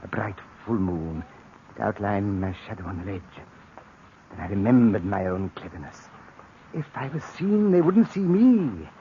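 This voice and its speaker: A man narrating in the first person for an old radio drama, tense and breathy in places. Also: lowish voice, very animated, normal speed. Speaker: narrator and lead. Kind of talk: 1940s radio acting. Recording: dull old radio recording with hiss.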